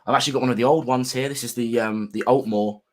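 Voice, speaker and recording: A man with a sore, gravelly throat hosting a casual whisky livestream, friendly and full of ums and restarts. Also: medium pitch, steady tone, quick pace, darkish tone.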